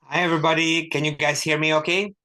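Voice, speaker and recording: A middle-aged man from Hungary, an author and professor giving a relaxed, chatty talk with dry humor and many ums over a video call. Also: a little high, fast. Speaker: author and professor, guest speaker. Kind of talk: informal talk to an online audience.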